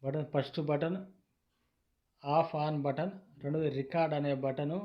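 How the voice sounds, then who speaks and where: A man with a slightly high, very even voice presents a product informally. He explains deliberately, phrase by phrase, often repeating himself. The recording is dull and lo-fi. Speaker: YouTube product reviewer. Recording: dull lo-fi sound.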